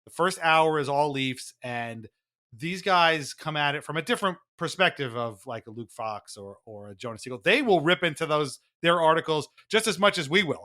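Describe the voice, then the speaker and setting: A man hosting a podcast, talking casually about hockey in a rambling, animated, conversational way. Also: on the high side. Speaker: podcast host.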